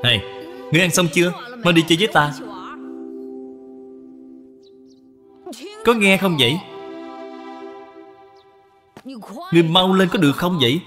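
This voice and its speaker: A man's voice: high-pitched, expressive, very fast, fairly bright tone, big-room sound, soft background music. A man voicing a spoiled, impatient young boy in a cartoon dub, brash and demanding.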